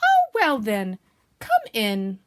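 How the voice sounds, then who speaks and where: A woman's voice: fairly high pitch, huge pitch swings, takes it slowly, noisy background, heavily acted. A woman voicing a fussy, cautious but polite male rabbit in a children's storybook, prim and evasive in manner. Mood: politely welcoming. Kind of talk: children's storybook character reading.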